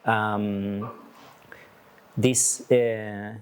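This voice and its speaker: A man from Argentina, an artist with a biology background, explaining concepts in a talk, halting and searching for words. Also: fairly low pitch, little pitch movement, clean tone. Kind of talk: presenting a talk to an audience.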